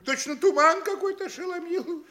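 An actor playing a flustered, indignant provincial official in an audio stage play. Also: male voice, very high voice, moderate pace, bright, forceful tone. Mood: bewildered. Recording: echoing room, noisy crowd behind.

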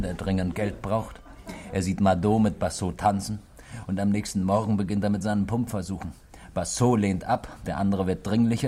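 An actor in a 1960s German radio play, playing a tipsy, world-weary drinking companion who muses theatrically and swings from mutters to loud calls. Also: male voice, low-pitched. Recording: noisy old 1960s radio recording.

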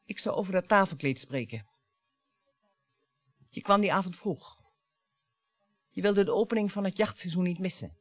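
A bitter, grieving wife, widely swinging voice. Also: female voice, fairly low pitch, expressive, dry recording, soft background music. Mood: bitter, sardonic. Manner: dramatic, halting, taunting. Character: bitter, grieving wife and mother confronting her husband. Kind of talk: Dutch radio play acting.

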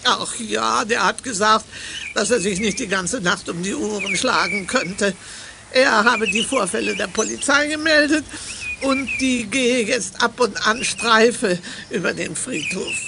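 An actress playing a distressed widow in a German children's audio drama, with a plaintive, emotional delivery. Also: female voice, fairly high pitch, unhurried, gravelly, background noise. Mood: resigned. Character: distressed widow lamenting vandalised graves.

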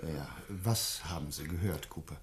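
An actor in a German radio play, playing a patient gentleman who questions a witness in a reassuring, gently probing way. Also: very deep voice, normal speed. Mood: attentive, probing.

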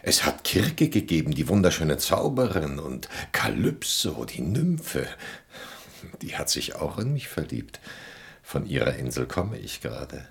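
An actor playing an aging, boastful and weary wandering king in a German radio play, grandiloquent and reflective, with a gravelly voice. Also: very deep voice, sing-song, a little slow, full character voice. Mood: defensive.

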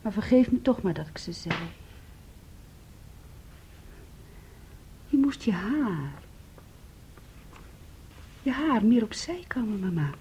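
Intense young woman, high and mellow. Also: very animated, a little slow, slight background noise. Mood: tender, resigned. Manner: hushed, intimate, often dropping to a whisper. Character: intense, wounded young woman, weary and desperate. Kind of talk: Dutch radio play acting. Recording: dull, muffled old radio recording.

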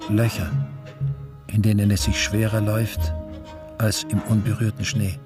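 A man's voice: fairly low pitch, little pitch movement, unhurried, natural voice. A man reading scripted literary narration for a radio drama. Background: music.

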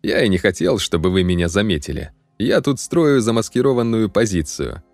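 A man's voice: on the low side, lively intonation, a little fast, a little mellow, no room sound, soft background music. A man voicing a cheerful, naive young male soldier who talks in a friendly, easygoing, slightly sheepish way.

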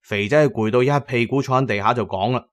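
A male audiobook narrator with a Cantonese accent and a mid-pitched voice. He tells an adventure dramatically, in a storyteller's delivery with colloquial turns. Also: fairly quick.

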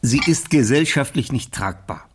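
An actor playing a strait-laced, embarrassed middle-aged uncle in a radio crime drama, husky and stammering with evasive hesitation. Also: male voice, medium pitch, expressive, normal speed, noisy background, close to an everyday voice. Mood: awkward, evasive.

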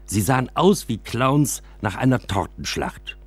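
A man reading a scripted literary story for radio as a first-person narrator, with expressive storytelling. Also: medium pitch, unhurried, husky, no echo.

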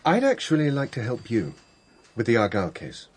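An actor playing an earnest, troubled doctor in a radio drama, speaking formally and insistently. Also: male voice, mid-range voice, huge pitch swings, fairly quick, slightly rough. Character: earnest, troubled male doctor seeking to clear a name. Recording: music under the voice.

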